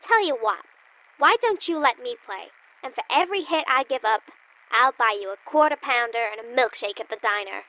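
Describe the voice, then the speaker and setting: High-pitched, feisty, bossy girl. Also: animated, brisk, bright, forceful tone, dull audio. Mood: sly, confident. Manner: brash, wisecracking, teasing. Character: feisty, bossy, sly girl in a radio play. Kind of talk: old-time radio comedy sketch acting.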